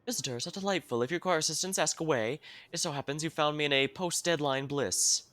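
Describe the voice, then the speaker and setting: A man voicing an excitable, eccentric researcher in a video game, with effusive, theatrical wording. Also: fairly high pitch, fast, no room sound, voice pushed up, close to an everyday voice. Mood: cheerful, obliging. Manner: effusive, theatrical wordy speech. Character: excitable overworked researcher, freshly rested, eccentric.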